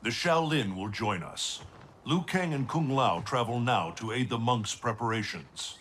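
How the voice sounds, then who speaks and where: An actor playing a wise, solemn thunder god who speaks in a measured, formal way in a video game cutscene. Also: male voice, mid-range voice, animated, fairly bright tone, a little hiss or hum. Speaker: mentor.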